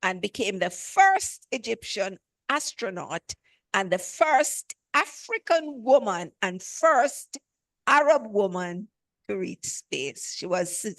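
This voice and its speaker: Lady host doing an intro, talks slow, kinda high, dry sound. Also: dry recording. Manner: enthusiastic, stops to correct herself. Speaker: event host. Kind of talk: reading a speaker introduction.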